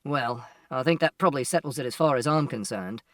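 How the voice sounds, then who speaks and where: A narrator voicing a calm, firm male policeman in an audiobook. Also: mid-range voice, a little fast, no room sound, clean studio sound, far from the mic, lightly acted. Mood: brisk, official. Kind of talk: audiobook character acting.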